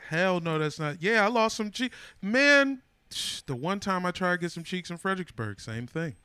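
A comedian in his thirties telling animated, slangy stories in a casual chat. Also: sits high, normal speed, clear, resonant tone, no echo. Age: 30s.

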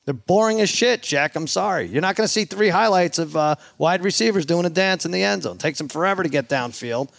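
A man's voice: sits high, lively intonation, brisk, creaky, hardly any pauses, a bit bright, no room sound, clean studio sound. A man hosting a casual sports betting discussion. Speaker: sports show host.

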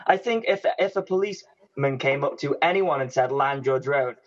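Man, husky voice, conversational, muffled lo-fi sound. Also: medium pitch, normal speed. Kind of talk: casual chat.

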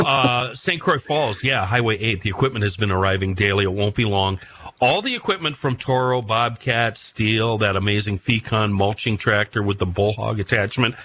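A man's voice: fairly low pitch, a bit bright, a little hiss or hum. A man hosting a radio show, delivering a folksy, rambling live sponsor read off the cuff.

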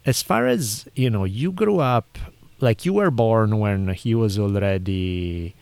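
A man with an Italian accent hosts a relaxed podcast interview, rambling through his questions and laughing easily. His voice sits low. The sound is dry and close. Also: slow, creaky, smooth, no echo. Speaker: podcast host. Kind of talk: casual interview chat.

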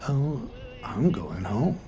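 An actor playing a friendly, protective older truck driver in an audio drama, folksy and halting, with a creaky voice. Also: male voice, medium pitch, sing-song, dark tone. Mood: friendly, concerned. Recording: noisy vehicle cab ambience.

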